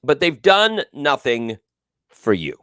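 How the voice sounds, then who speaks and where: A man's voice: mid-range voice, sing-song, slow, bright, forceful tone, clean tone, dry recording, clean studio sound. A male talk show host with a big, carrying voice, giving emphatic, repetitive political commentary and reading viewers' comments aloud. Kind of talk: live political call-in commentary.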